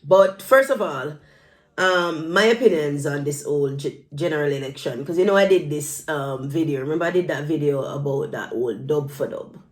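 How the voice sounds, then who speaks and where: A woman's voice: sits low, moderate pace. A woman from Jamaica giving casual political commentary, conversational and repeating phrases for emphasis.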